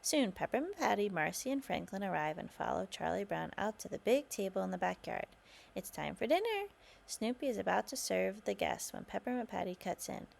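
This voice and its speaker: A woman's voice: medium pitch, very animated, fairly quick, gravelly. A woman reading a children's storybook aloud as scripted narration.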